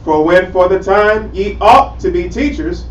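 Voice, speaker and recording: A man reading Bible verses aloud in a measured, plain way during a study. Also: high-pitched, slight background noise. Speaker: scripture reader in a Bible study. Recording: far-off echoey room, muffled and lo-fi.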